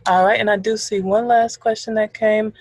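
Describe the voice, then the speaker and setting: A woman moderating a webinar over a video call, reading out questions in a friendly, relaxed way with frequent fillers. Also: medium pitch, moderate pace, slight background noise.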